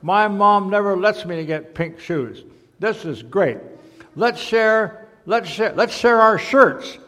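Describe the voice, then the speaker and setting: A man voicing a stubborn little kindergarten boy from a storybook, with loud, exaggerated, drawn-out demands. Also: high voice, lively intonation, voice pushed up, heavily acted. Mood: delighted. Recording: reverberant large hall.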